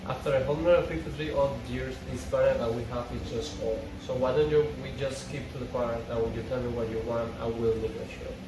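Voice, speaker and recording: A man's voice: medium pitch, steady tone, a little fast, few pauses, noisy background, lightly acted. A man reading earnest drama hero, echoey room. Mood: taunting. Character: men of a supernatural TV drama, earnest or taunting. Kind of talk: amateur script reading. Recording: echoey room, distant mic, music behind.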